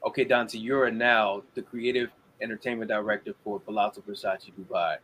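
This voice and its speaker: Animated young man telling a story. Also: lowish voice, moderate pace, breathy edge. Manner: animated storyteller, acts out quotes. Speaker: guest. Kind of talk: casual interview talk. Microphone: video call.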